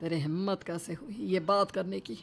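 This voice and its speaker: High-pitched stern household man acted by a man. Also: steady tone, normal speed, vocal fry, fairly bright tone, exaggerated voice. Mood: indignant, scolding. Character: stern patriarchal village household man, indignant. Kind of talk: Hindi moral-story cartoon dialogue.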